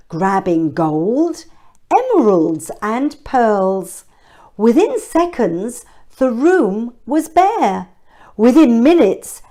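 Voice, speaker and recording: Female children's storybook reader, highish voice, very lively intonation, speaks directly to little listeners. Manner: expressive, chatty asides to children. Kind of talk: read-aloud storytelling with questions to young listeners.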